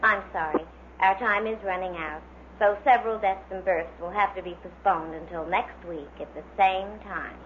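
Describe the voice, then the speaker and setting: A woman announces scripted radio items in a vintage style. Her voice sits at a middle pitch, and the recording is dull with faint hiss. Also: moderate pace.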